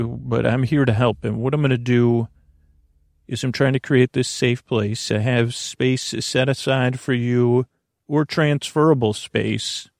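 A man with a creaky voice hosting a sleep podcast in a lulling, meandering, hesitant monologue full of halting tangents. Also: mid-range voice, darkish tone. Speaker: podcast host. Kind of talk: rambling, soothing bedtime podcast monologue.

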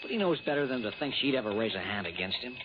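Insurance agent, played by a man: exasperated American male, quick and very animated, emphatic, muffled old radio sound. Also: mid-range voice, noisy background, natural voice. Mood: dismissive. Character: exasperated, dismissive male insurance agent, fretting over premiums. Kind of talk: 1960s radio drama acting.

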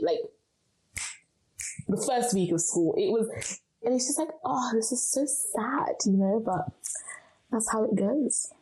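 A woman's voice: mid-range voice, warm tone, rough recording. A young woman from Zimbabwe, answering interview questions with earnest, encouraging advice. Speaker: guest speaker.

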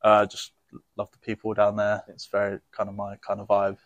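A young man with a fairly low voice, answering interview questions in a relaxed and easygoing way. He laughs easily, and the recording is dry and close. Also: normal speed, dry recording. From grew up in many countries. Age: young adult. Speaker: rugby player guest.

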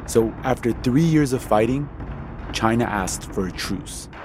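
A man giving scripted explainer narration in a measured, storytelling way. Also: medium pitch, expressive, background noise.

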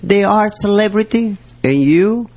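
A man's voice: high voice, animated, measured pace, darkish tone. A man with a sassy, campy manner and non-native English phrasing, delivering a comedic gossip rant as a show regular. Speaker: podcast regular giving a weekly rant.

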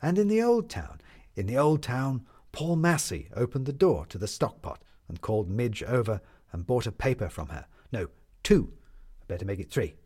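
A man narrating a scripted story in a measured, lyrical storytelling manner. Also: mid-range voice, big pitch swings, darkish tone, breathy edge.

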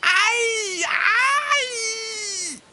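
A man's voice: extremely high pitch, lively intonation, a little slow, heavy background noise, voice pushed up. A man voicing a sly, pleading fox in a children's audio storybook, wheedling and dramatic. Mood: pained. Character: sly, pleading male fox, panicky friend in trouble.

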